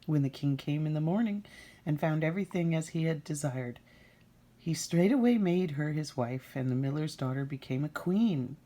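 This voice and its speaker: A middle-aged woman reading a fairy tale aloud as a storyteller, deliberate and dramatic. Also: low voice, expressive, dark tone.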